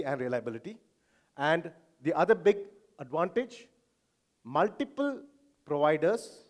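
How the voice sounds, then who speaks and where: A man with an Indian accent speaks to a conference audience, explaining things in an informal way. His voice sits a little high for a man. He sounds like a physician presenting his field. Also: a little mellow.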